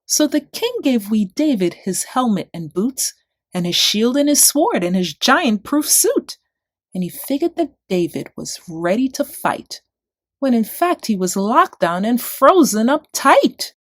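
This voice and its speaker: A woman's voice: a little high, sing-song, unhurried, no echo. A woman storyteller reading a rhyming children's book aloud expressively.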